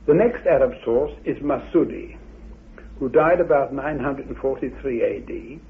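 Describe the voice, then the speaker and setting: A tinny, phone-like recording of a male expert recounting historical sources. His voice is extremely husky with a gravelly creak, and he phrases things in a halting, deliberate way. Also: on the high side. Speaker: expert guest on ancient legends.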